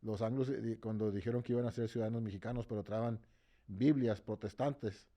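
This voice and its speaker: A man speaks with conviction in an interview, his voice mellow and warm. He stumbles and repeats words as he builds his point. He keeps checking in with the listener as he goes. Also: lowish voice, fairly even intonation, a little fast. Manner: repeats words while searching, tags phrases with "no?"; switches between English and Spanish. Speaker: interviewee, march organizer. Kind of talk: impassioned interview talk.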